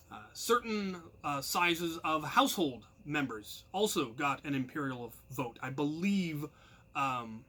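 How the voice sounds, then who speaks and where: Storyteller, male, American. Slightly high, crisp-edged bright voice; informal anecdotes delivered with animation and self-mockery. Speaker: storyteller and channel host.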